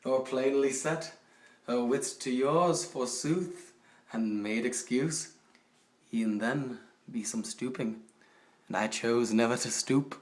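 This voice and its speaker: A man playing a haughty, possessive, coldly jealous duke in a theatrical, deliberate dramatic poetry recitation. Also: mid-range voice, lightly acted. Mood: disdainful. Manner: theatrical, deliberate, swinging from hushed to forceful. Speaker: poetry reader. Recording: roomy echo, distant microphone.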